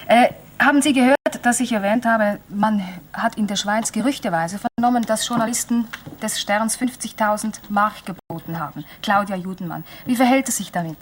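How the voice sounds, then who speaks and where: A woman's voice: medium pitch, normal speed, a little hiss or hum. A woman hosting a radio show, questioning a caller in a probing, persistent way. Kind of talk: radio interview.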